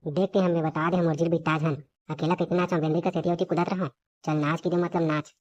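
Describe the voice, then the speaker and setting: A woman's voice: deep voice, level tone, a little fast, a little mellow. A woman voicing a nagging, playful female serpent in a comic cartoon, speaking insistently with a Chhattisgarhi accent. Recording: dull lo-fi audio with clear noise.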